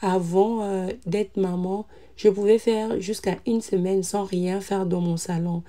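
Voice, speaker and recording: A woman in her thirties, a mother, narrating a cleaning vlog in a calm, relaxed voice-over with frequent hesitant fillers. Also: mid-range voice, unhurried. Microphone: voice-over recorded separately.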